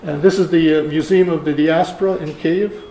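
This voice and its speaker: An older man, around seventy, giving a conference lecture in a halting, deliberate way. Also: fairly high pitch, fairly even intonation, warm tone. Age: older, about 70. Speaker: keynote speaker, archivist. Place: lecture room, indoors. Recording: roomy echo, faint room noise.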